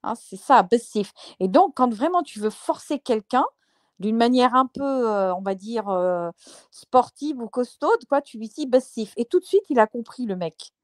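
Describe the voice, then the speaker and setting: A woman on a panel, explaining in a lively, casual way. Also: on the high side, moderate pace, no room sound, studio quality. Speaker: panel speaker.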